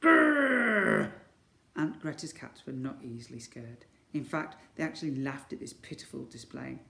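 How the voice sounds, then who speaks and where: A man's voice: medium pitch, steady tone, moderate pace, creaky, a little hiss or hum. A man reading a children's story aloud in a theatrical way. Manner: theatrical, exclaims sound words. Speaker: school story reader. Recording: echoey room.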